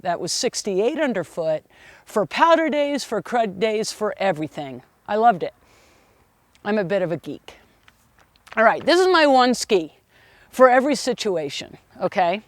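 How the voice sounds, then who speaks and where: A middle-aged woman ski instructor giving an opinionated lesson on equipment in a strong, loud, emphatic voice. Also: medium pitch, no echo, clean studio sound. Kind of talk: instructional video talk.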